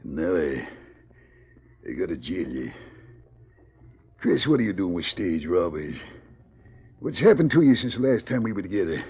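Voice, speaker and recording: An actor playing an excitable but loyal Old West sheriff with a rural Western American accent, sputtering folksy exclamations in 1940s radio style. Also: male voice, low-pitched, moderate pace, gravelly, pauses often, slightly rough, exaggerated voice. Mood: stunned, grieving. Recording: muffled 1940s radio recording.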